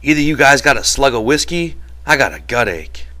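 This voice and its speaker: A man's voice: mid-range voice, big pitch swings, fairly quick, pushed and bright, voice pushed up. Man putting on an old, grumbling ranch swamper. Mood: complaining. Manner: complaining, folksy ungrammatical talk. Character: old, ailing, complaining male ranch swamper.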